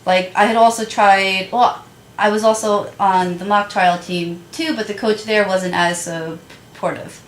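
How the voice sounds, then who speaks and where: A woman's voice: mid-range voice, steady tone, a little slow. A young woman who stutters a little, chatting as a guest about her school years. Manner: stutters with repeated sounds. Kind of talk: casual chat. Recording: echoey room with light noise.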